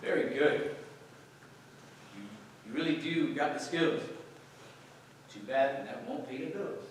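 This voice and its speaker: A man's voice: mid-range voice, expressive, takes it slowly, very noisy. A man with an American accent playing a brash, mocking, worldly actor in staged theatrical dialogue. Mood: smug, cutting. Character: brash, mocking, worldly male actor taunting his friend. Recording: echoey hall, distant microphone.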